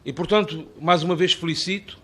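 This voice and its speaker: A man's voice: on the high side, brisk, husky. A middle-aged man speaks with an Azorean Portuguese accent. He delivers a formal, ceremonial address in a slightly raised voice, stacking phrase after phrase in rhetorical lists. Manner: ornate, rhetorical, long listing phrases. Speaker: invited speaker at a parliamentary hearing.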